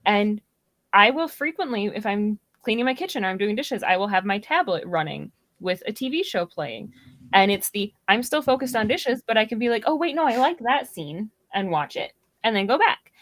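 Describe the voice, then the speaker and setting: A woman's voice: medium pitch, very animated, normal speed, fairly bright tone, dry recording. A woman in a casual, conversational discussion as part of a podcast panel. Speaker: podcast guest or co-host.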